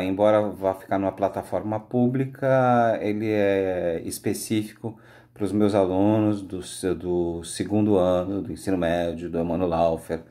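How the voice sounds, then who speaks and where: A Brazilian man speaking as a high school history teacher, giving an informal, conversational lecture with frequent tag questions. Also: warm tone. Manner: conversational, explanatory, frequent "né" tags.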